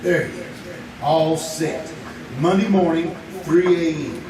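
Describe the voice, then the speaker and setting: A scheming would-be bank robber, played by a man who mutters his plans aloud and gloats. His voice sits fairly high and comes from a distance. A roomy live stage with hiss behind it carries the sound. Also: fairly even intonation, slow, crowd noise. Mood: plotting. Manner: muttering to himself, gleeful. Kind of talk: live old-time radio drama acting.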